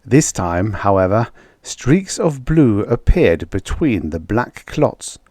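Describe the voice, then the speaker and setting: A man with a fairly low voice narrates a science fiction audiobook. His delivery is measured and dramatic, leaning on key words for emphasis. The sound is dry and close, with little room in it. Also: very animated, darkish tone, no echo. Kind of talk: scripted narration of vintage science fiction stories.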